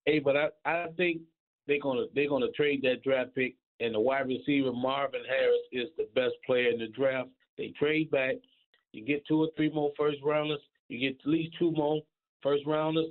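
Man, radio caller, casual sports fan, medium pitch, thin phone-line audio. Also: steady tone, moderate pace. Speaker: radio call-in guest. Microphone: phone call.

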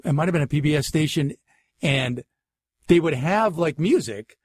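A man's voice: fairly high pitch, expressive, moderate pace, a little mellow, slightly rough, no room sound, clean studio sound. A man in his forties from Massachusetts with a faint Boston accent, an interview guest telling wry stories easily. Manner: easygoing, wry storytelling. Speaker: screenwriter guest. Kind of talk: casual interview chat.

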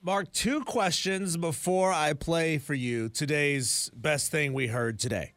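A man's voice: a little high, slow, fairly bright tone, no echo, clean studio sound. A man co-hosting a sports radio show, chatty and setting up clips in casual banter. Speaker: radio co-host.

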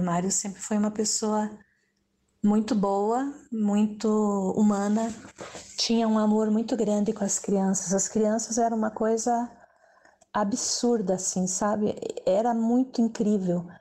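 A middle-aged woman speaks in a warm, reminiscing way, her tone dark and mellow. She often adds little "né" tags as she recalls things. It sounds like a recorded interview clip. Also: mid-range voice, fairly even intonation, a little slow. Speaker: former stage assistant and singer.

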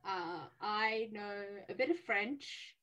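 A woman's voice: mid-range voice, expressive, slow pace, pushed and bright, rough recording. A young woman hosting a student radio show, bubbly and laughing often; her first language is Tamil. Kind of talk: casual chat.

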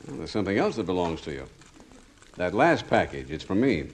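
An actor playing a dry, teasing family friend in a 1950s radio comedy, with wry delivery. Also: male voice, deep voice, big pitch swings, brisk. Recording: noisy vintage 1950s radio recording.

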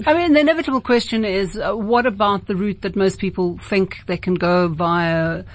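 South African woman hosting, medium-pitched, halting. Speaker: radio host. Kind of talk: casual late-night interview.

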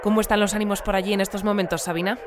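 An actress playing a formal, measured, unflappable radio newsreader in an audio drama. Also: female voice, medium pitch, level tone, background noise, lightly acted. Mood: serious, composed. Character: composed female radio newsreader of a sci-fi community. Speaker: news anchor. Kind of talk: scripted news bulletin, fiction podcast. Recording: dry studio with music bed.

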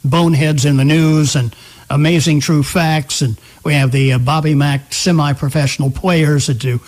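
A man's voice: a little high, fairly even intonation, normal speed, darkish tone. A man hosting a live talk radio show, chatting conversationally on a close studio microphone.

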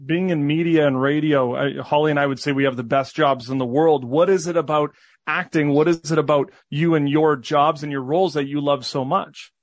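A male radio co-host chats casually with a guest, with easy fillers along the way. His voice sits fairly high for a man. The recording is dry and close. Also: steady tone, fairly quick, no echo. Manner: chatty, with fillers.

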